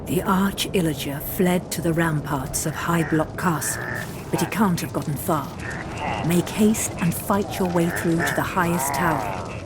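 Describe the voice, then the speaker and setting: A woman playing a grave storyteller in a fantasy game, narrating dramatically and deliberately over loud music. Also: low-pitched, animated. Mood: grave, rallying. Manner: solemn, theatrical, deliberate phrasing. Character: grave storyteller guiding heroes through a fantasy quest.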